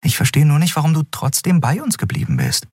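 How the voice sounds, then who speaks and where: A man voicing an impulsive, stubborn young woman who captains an airship, earnest and quick to argue. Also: a little high, wildly swooping pitch, a little mellow, breathy edge, dry recording, studio quality, pitched above the performer's own voice, heavily acted. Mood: pleading.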